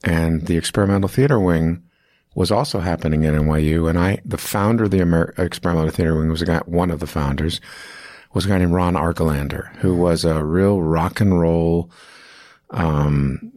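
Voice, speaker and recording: A man's voice: low-pitched, normal speed, darkish tone, no room sound, clean studio sound. A man in his fifties, an acting teacher, telling long stories in an interview, rambling with restarts and fillers. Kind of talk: casual interview storytelling.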